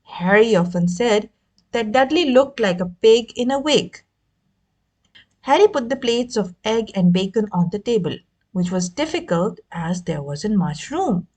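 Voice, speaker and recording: A woman reading a children's novel aloud as an expressive narrator. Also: medium pitch, unhurried. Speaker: audiobook reader. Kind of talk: expressive scripted story narration.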